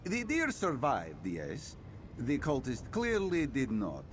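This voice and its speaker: An actor playing a sly, thoughtful cat-folk scout in a video game, theatrical and musing in manner. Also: male voice, medium pitch, huge pitch swings, slow, slight background noise. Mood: wry, cautious. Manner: theatrical, musing, refers to himself in third person. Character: sly, thoughtful cat-folk male scout, speaks of himself in third person. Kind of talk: video game character dialogue.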